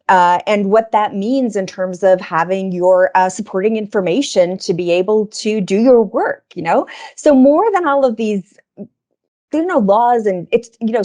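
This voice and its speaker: A woman's voice: mid-range voice, darkish tone. A woman in a conversational business discussion, explaining research informally as a guest speaker and stressing key words.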